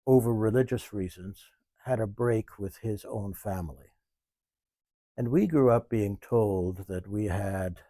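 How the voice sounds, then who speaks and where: A man in his seventies, talking in a measured, thoughtful way and pausing often mid-sentence, in an interview. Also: on the low side, a little mellow, no echo. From Canada. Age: 70s. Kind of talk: reflective interview. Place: small museum.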